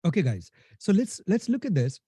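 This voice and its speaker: A man's voice: sits high, very animated, moderate pace, dark tone, husky, no echo, studio quality. A man with a thick Indian accent, giving a casual live technical lecture to a class, often asking "right?" and restarting sentences. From India and Nepal. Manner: casual, talks to the class as "guys", many "right?" tags and restarts. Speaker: instructor.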